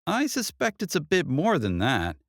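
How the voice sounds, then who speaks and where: Audiobook police detective, female played by a man: raised pitch, lively inflection, measured and concerned. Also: dry recording, studio quality, full character voice. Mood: sympathetic. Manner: measured, earnest, official questioning.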